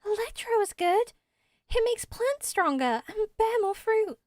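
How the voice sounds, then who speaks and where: A woman voicing a bright, eager little village girl who loves stories, in a game. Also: very high-pitched, expressive, measured pace, no echo, studio quality, voice pushed up. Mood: delighted.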